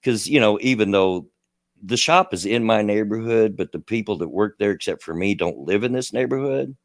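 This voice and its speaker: A man's voice: on the low side, moderate pace, no echo, studio quality. A middle-aged man with a Kentucky and Tennessee redneck accent, hosting a casual livestream and rambling with a self-deprecating laugh. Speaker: livestream host.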